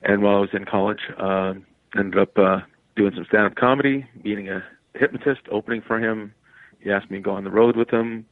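Man, lowish voice, thin phone-quality audio, casual storytelling, interview guest. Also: steady tone, fairly quick, no echo. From Canada. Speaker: interview guest, stage entertainer. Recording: tinny phone-like line, dry.

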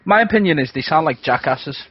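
A man's voice: a little high, lively intonation, fairly quick, husky, no room sound, lo-fi sound. A man co-hosting a talk radio show, chiming in with short agreeing remarks. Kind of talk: casual talk radio banter.

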